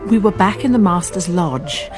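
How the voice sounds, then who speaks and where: An actress playing a composed female academic who narrates a radio murder mystery in measured, literary, precise phrases. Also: fairly low pitch, lively intonation, over loud music, natural voice. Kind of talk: scripted first-person radio drama narration.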